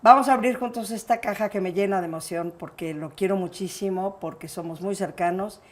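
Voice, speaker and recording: A woman's voice: mid-range voice, fairly quick. A woman with a Mexican accent hosting a personal interview in an effusive, affectionate manner. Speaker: interview show host.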